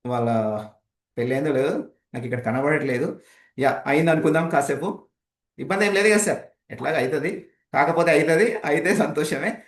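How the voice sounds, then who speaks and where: A man's voice: on the high side, a little fast, long pauses. A man leads an interactive training session as a speaking coach, explaining emphatically and putting rhetorical questions to participants. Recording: echoey, roomy sound.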